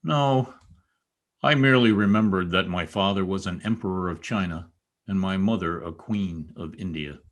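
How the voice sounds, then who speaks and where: A man's voice: sits low, normal speed, natural voice. A man playing a bitter, commanding former stable boy turned rich, sardonic, in old-time radio drama style.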